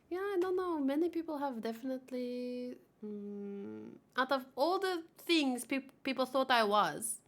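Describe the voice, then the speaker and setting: A woman's voice: high voice, animated, takes it slowly. A woman whose first language is Afrikaans, chatting casually in a rambling, giggly way and often correcting herself as she hosts a manga read-along.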